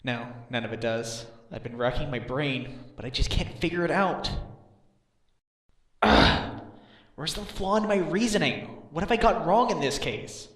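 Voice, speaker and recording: A man's voice: medium pitch, fairly even intonation, moderate pace, hardly any pauses, fairly bright tone, no room sound, close to an everyday voice. A man playing an earnest, troubled defense lawyer and father in a game fan dub, brooding and self-doubting. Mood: frustrated. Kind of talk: dramatic visual novel dubbing. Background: background music.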